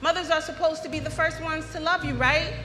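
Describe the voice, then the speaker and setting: An American woman performing a dramatic spoken-word monologue, with emphatic, poetic phrasing and deliberate pauses. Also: high voice, fairly even intonation, sharp tone. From United States (Philadelphia). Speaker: storyteller performing an autobiographical monologue. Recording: echoey hall with long reverb.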